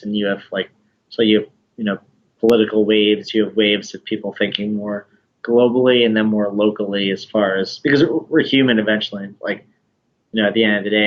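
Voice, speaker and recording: A man speaking as a guest over a video call, articulate and thoughtful, laying out his ideas in a measured way. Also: lowish voice, muffled recording. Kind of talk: casual interview conversation.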